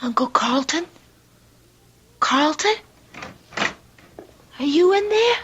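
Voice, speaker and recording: A woman's voice: high-pitched, animated, takes it slowly, slight background noise. An actress playing an earnest, lovestruck young woman with a hard shell, emotional and hesitant, in a radio mystery drama. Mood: nervous.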